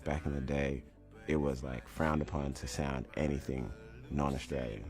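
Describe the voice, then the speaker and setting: A man's voice: very deep voice, steady tone, moderate pace. A young man, a music producer, talking in an easygoing, wry way in an interview. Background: music playing.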